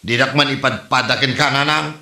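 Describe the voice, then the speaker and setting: A man's voice: medium pitch, a little fast, sharp tone. A man with an Ilocano accent playing a hapless, greedy, defensive grown son in a comedy radio drama. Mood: whiny complaining. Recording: roomy echo, faint music.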